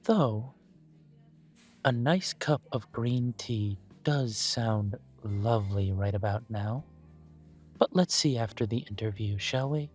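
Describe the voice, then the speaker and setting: A man voicing a courteous elven coffee shop owner who speaks in a polite, formal, gently self-deprecating way, with soft music playing behind him. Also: on the low side, slow pace. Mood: gracious. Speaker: shop owner interviewing a job applicant. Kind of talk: scripted one-sided roleplay audio.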